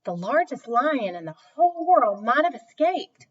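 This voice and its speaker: A woman voicing an emphatic, admiring male circus owner in a children's story, with deliberate, declarative delivery. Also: high voice, sing-song, husky, voice pushed up, full character voice. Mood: impressed, grateful. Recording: dull, distant microphone.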